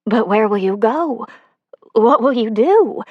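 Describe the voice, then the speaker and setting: A woman's voice: on the high side, expressive, slow, no echo, narrow, tinny audio, pitched above the performer's own voice. A woman reading the part of an earnest new-age wife who pleads anxiously with her husband. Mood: pleading. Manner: pleading, nervous.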